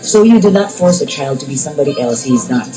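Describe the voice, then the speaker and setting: A woman's voice: low voice, expressive, fast, low-quality recording. Lady therapist on a radio show, explains things patiently, mixes in tagalog, music in the background. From Philippines. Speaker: life coach and therapist, interview guest. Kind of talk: radio interview answers. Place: radio studio. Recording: music playing underneath.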